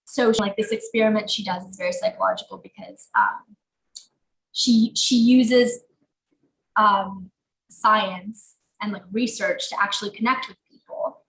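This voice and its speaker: A woman's voice: medium pitch, steady tone, measured pace, a bit bright. A young adult woman actor whose first language is Portuguese, talking casually in an interview with many fillers. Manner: chatty, lots of fillers like "um" and "like". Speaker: actor guest. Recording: roomy echo.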